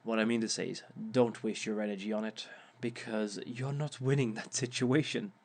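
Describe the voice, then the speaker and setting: A man's voice: lowish voice, fairly even intonation, moderate pace. A man playing a smug male villain who gives romance advice in a wry, mock-sympathetic way and chuckles often at his own words. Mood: wry, amused. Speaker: villain relationship expert. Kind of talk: audio roleplay monologue.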